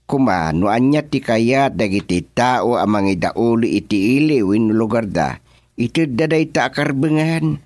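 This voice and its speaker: An actor playing an eager, talkative political underling in a radio comedy-drama, emphatic and exclamatory, with an Ilocano accent. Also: male voice, mid-range voice, lively intonation, measured pace, darkish tone, no echo, natural voice. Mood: insistent.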